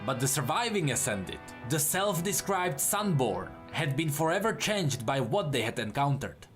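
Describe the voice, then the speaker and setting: A man's voice: a little high, sing-song, moderate pace, soft background music. A man narrating fantasy lore as scripted, dramatic storytelling, with explanatory asides.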